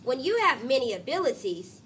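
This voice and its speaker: Storybook role: woman playing a cocky, impatient young girl, emphatic and bright, swinging pitch. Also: sing-song, fairly quick, slight background noise. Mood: cocky, excited. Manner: emphatic, boastful.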